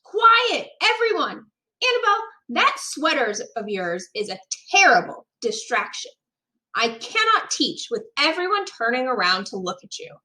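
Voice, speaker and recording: Woman acting exasperated male teacher, storybook, scolding, emphatic, high swooping pitch. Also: sing-song, moderate pace, pushed and bright, heavily acted, rough recording. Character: stern, exasperated male schoolteacher.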